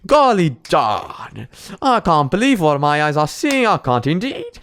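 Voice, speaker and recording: Smooth-voiced rambling man. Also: fairly high pitch, very animated, unhurried, a bit bright. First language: Portuguese. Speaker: reaction host. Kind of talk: casual reaction commentary.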